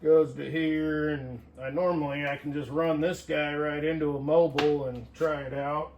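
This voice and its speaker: A man's voice: fairly high pitch, level tone, moderate pace, dull audio. A man talking casually to viewers in a folksy, unscripted way, thinking aloud as he tinkers through a hands-on demonstration. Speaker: hobbyist CB radio vlogger.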